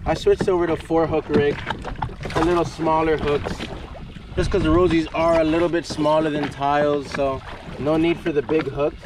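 Young guy doing a fishing vlog out on the water, excited short phrases, repeats himself, noisy boat audio. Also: a little high, moderate pace. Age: young adult. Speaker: fishing vlogger. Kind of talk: casual excited vlog commentary. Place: outdoors on a jet ski at sea. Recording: noisy outdoor boat recording. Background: boat and open-water noise.

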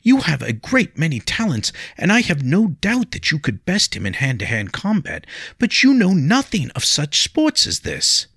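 An anxious young noblewoman pleads in a raised, urgent voice, as a male narrator puts her on. Her speech climbs high and swings widely in pitch, and a gravelly edge comes through the husky tone. The sound is dry and close. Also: wildly swooping pitch, moderate pace, hardly any pauses, a bit bright, no room sound, heavily acted. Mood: frustrated pleading.

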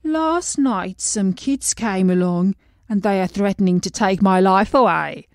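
A woman's voice: mid-range voice, measured pace, darkish tone, no echo, studio quality, natural voice. A woman voicing a glum, plain male pond fish in a storybook reading, speaking in a mournful, heavy way. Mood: gloomy.

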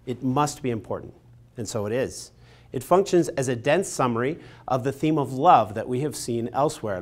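Male lecturer in his forties, mid-pitched, expressive and emphatic, scripted studio video lesson on a lapel mic. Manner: expressive, emphatic storytelling delivery. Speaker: literature lecturer. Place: studio in front of a painted backdrop.

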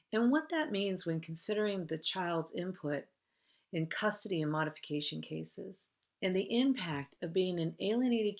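A middle-aged woman hosting in a measured, formal way, as if presenting from a script. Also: on the low side. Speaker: host, counsellor.

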